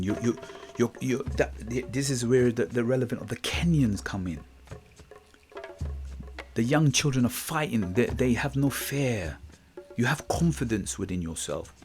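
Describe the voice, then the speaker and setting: A middle-aged man from Guyana gives a motivational podcast talk in a mid-pitched voice. He sounds reflective, often repeats and restarts his words, and keeps checking in with the listener. Faint music plays behind him. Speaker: podcast host.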